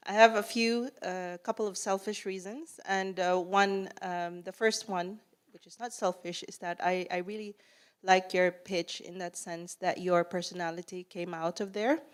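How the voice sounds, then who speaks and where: A woman's voice: on the low side, fairly even intonation, normal speed, smooth. A woman from the Philippines with a Filipino accent, giving friendly spoken feedback as a jury member and laughing easily. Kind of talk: spoken feedback at a pitch event.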